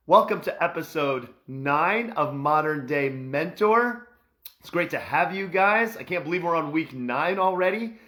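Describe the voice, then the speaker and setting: A man in his thirties hosts a casual podcast, his voice hoarse and scratchy as it recovers. He talks earnestly and with enthusiasm, leaning on fillers and restarting his sentences as he goes. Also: medium pitch, a bit bright. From Midwestern United States. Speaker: podcast host and mentor. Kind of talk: unscripted casual podcast talk.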